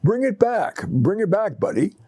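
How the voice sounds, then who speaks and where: A man's voice: high-pitched, very animated, normal speed, mellow, slightly rough, dry recording, clean studio sound, voice pushed up, exaggerated voice. A man voicing a kindly, encouraging dog owner who coaxes in a storybook reading. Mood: coaxing. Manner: raised, coaxing, repetitive.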